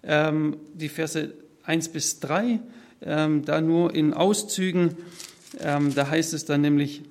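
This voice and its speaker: A man's voice: on the high side, fairly even intonation, takes it slowly, darkish tone. A man preaching a sermon, explaining step by step and speaking freely to his listeners. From Swabia, southern Germany. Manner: explanatory, structured, addresses listeners directly. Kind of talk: sermon, spoken freely from notes to a congregation.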